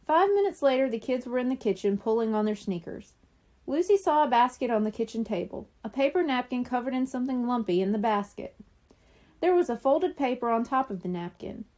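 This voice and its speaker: A woman narrating a children's story from a script in an expressive way. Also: on the high side, brisk. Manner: expressive character reading. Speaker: audiobook narrator.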